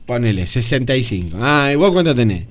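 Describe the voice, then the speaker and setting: A man's voice: mid-range voice, very animated, fairly quick. A man who tells stories in casual banter and acts out what other people say. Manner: storytelling, acting out other people's voices.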